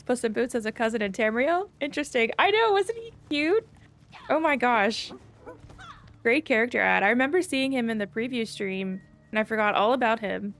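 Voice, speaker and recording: Creaky-voiced woman streaming a game. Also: on the high side, a bit bright. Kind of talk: casual live gameplay commentary, reading chat. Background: game music.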